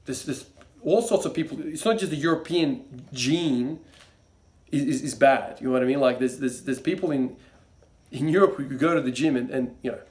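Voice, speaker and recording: A man's voice: medium pitch, normal speed, a little mellow. A young man, talking to camera about his views. He rambles loosely, with plenty of fillers, false starts and trailing asides. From Croatia. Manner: rambling, many fillers and restarts. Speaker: fitness vlogger. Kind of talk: casual monologue to camera.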